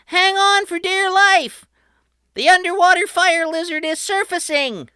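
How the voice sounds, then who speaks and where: A woman voicing an excited young boy who shows off his inventions, calling out like a showman. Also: takes it slowly, dry recording, clean studio sound, exaggerated voice. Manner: shouty and showman-like, booming announcements.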